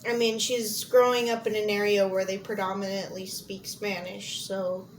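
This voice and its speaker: A woman's voice: medium pitch, flat delivery, moderate pace, rough recording. A young American woman from Montana giving candid, informal answers as an interviewee, thinking aloud as she goes. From Montana, United States. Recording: clear background noise, amateur audio log.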